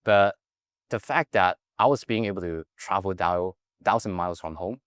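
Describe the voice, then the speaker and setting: Low-voiced man, earnest. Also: moderate pace, smooth, no room sound, studio quality. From Hong Kong. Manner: earnest, keeps adding "right?" tags to check in with the listener. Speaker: guest, life coach. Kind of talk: reflective personal storytelling.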